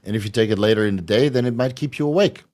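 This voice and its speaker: A man hosting a casual question-and-answer show, blunt and profane, his voice going hoarse. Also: fairly low pitch, racing, a little mellow. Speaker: podcast host answering viewer questions. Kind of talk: casual live Q&A.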